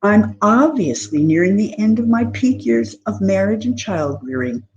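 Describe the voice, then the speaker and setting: A woman's voice: mid-range voice, animated, moderate pace, a little mellow, lo-fi sound, lightly acted. A woman voicing an insistent, blunt sister in her thirties in a storybook reading. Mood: forthright. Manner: insistent, retorting, forthright. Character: bossy, blunt, restless 32-year-old sister. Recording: clear background noise.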